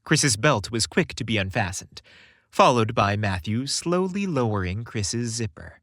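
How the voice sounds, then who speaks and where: A man narrating a scripted romantic story in a deliberate, expressive storytelling manner. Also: mid-range voice, dry recording, clean studio sound.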